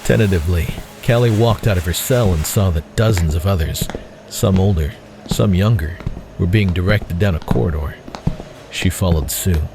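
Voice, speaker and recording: A man's voice: deep voice, normal speed, noisy background. A man reading scripted dramatic narration as the narrator of an audio story.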